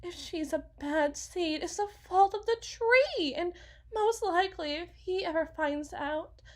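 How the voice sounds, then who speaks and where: A woman playing an anxious, fragile wife and mother in an ASMR roleplay, rambling haltingly and correcting herself as if blaming herself. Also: very high voice, expressive. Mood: bitter, resigned. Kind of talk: ASMR dramatic monologue roleplay.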